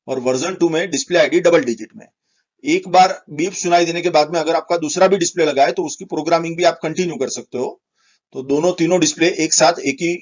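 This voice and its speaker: A man's voice: fairly high pitch, darkish tone, dull audio. A man giving a methodical, step-by-step technical tutorial as an instructor. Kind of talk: step-by-step product tutorial explanation.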